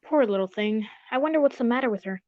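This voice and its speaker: Dull dry recording, woman acting genial gentleman storyteller, high-pitched, old-fashioned, polite, sentimental, radio drama. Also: expressive, fast, very noisy, lightly acted. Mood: curious, sympathetic.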